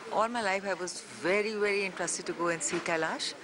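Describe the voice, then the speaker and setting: A woman's voice: on the low side, a little fast, crowd noise. An older woman on a pilgrimage, speaking earnestly and wistfully.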